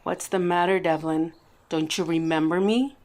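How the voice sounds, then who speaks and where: A woman playing a vengeful undead murder victim in a horror radio drama, speaking with deliberate, taunting menace. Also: on the low side, steady tone, a little mellow, natural voice.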